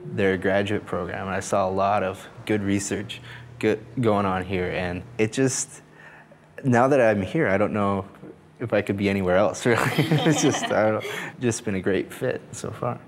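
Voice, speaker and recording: Relaxed young man, lowish voice. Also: moderate pace, a little hiss or hum. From Colorado. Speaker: graduate student. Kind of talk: casual group interview.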